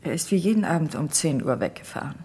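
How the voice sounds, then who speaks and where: Low, husky, proud wife. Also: female voice, animated, fast talker, a little mellow, full character voice. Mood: composed, defensive. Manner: imperious, controlled, direct. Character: proud, composed, authoritative doctor's wife. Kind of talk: vintage German radio crime drama acting.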